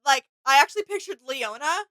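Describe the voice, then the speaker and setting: A woman's voice: high-pitched, expressive, a little fast, pushed and bright, dry recording. A woman co-hosting a reaction video in casual chat, tentative and often trailing off mid-thought. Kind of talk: casual chat, sharing impressions.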